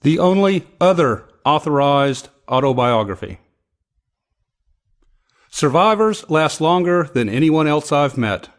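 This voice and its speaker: A man's voice: on the high side, big pitch swings, measured pace. A man reading poetry aloud in a recitation style. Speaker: poetry reader.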